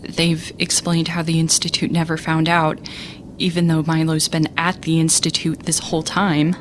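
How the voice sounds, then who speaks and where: A woman's voice: sits low, monotone, normal speed, a little hiss or hum, natural voice. A woman playing an exasperated young space captain who narrates her story in a sarcastic, conversational way for an audio drama. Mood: weary, earnest. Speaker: narrator and lead character.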